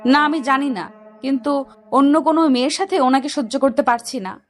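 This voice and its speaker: A woman plays a feisty young female office worker in a romantic audio story. Her voice is high and emotional, that of a lovesick girl given to grumbling. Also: normal speed, natural voice. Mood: hurt.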